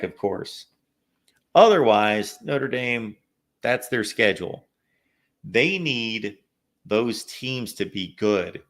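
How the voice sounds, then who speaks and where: A man hosting a live call-in sports show, a little raspy from no sleep, chatting through viewer comments and game analysis. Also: fairly low pitch, a bit bright. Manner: reads viewer comments aloud, conversational analysis. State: slightly raspy from lack of sleep. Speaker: host.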